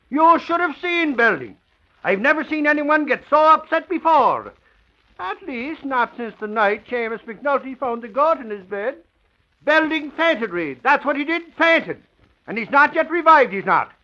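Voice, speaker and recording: An excitable, garrulous newspaperman in an old radio serial. His voice sits high and leaps about emphatically, on a muffled lo-fi recording. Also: a little slow, exaggerated voice. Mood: excited. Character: excitable male newspaperman, blustery, eager. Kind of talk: 1940s radio acting.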